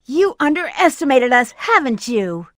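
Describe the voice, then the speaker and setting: A woman's voice: high-pitched, very animated, normal speed, fairly bright tone, dry recording, clean studio sound. A woman voicing a sly, playful bat treasure hunter in a video game, teasing and breezy.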